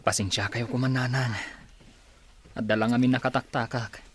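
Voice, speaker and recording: A man's voice: medium pitch, steady tone, normal speed, breathy edge, natural voice. An actor playing a polite, downcast son apologising to his mother in a radio drama. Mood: apologetic, downcast. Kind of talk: Ilocano radio drama acting.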